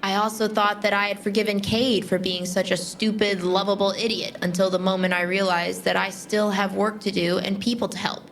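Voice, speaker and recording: A woman playing a steadfast, plain-spoken young Titan warrior in a fan audio drama, earnest and measured. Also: mid-range voice, level tone, soft background music, close to an everyday voice. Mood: reflective.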